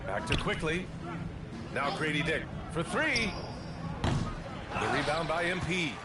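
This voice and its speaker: An actor playing a polished TV play-by-play announcer calling a basketball video game. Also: male voice, on the high side, big pitch swings, slow pace, very noisy. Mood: focused. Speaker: play-by-play sports commentator. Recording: distant, echoey, loud music over game audio. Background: loud music, bouncing basketball.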